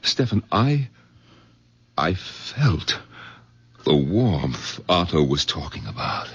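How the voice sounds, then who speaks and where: A muffled old radio drama recording carries an irascible middle-aged doctor. His voice is rough-edged and on the low side, leaping widely in pitch. He speaks with excitable, theatrical emphasis. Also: takes it slowly, exaggerated voice. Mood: astonished, elated. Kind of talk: 1970s radio drama acting. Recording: dull, lo-fi vintage radio sound.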